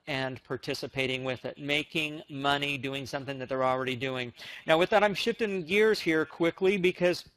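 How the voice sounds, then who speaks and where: American man with a higher-pitched, dry-sounding voice. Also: a bit bright, no echo. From United States. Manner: enthusiastic, emphatic. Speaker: conference call presenter. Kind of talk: motivational sales pitch on a conference call.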